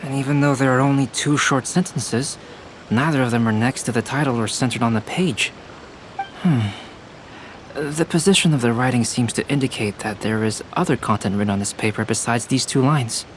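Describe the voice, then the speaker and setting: A male actor as a composed, analytical young artist in a video game. His voice sits at an ordinary pitch and sounds thoughtful and precise, over faint noise. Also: moderate pace, lightly acted. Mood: calm, analytical. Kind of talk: scripted game dialogue.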